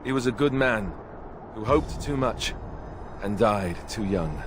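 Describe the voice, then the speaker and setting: An actor playing a wry, earnest young warrior in a fantasy game, with a dry manner. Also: male voice, on the low side, expressive, a little slow, background noise. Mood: grieving. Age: young adult.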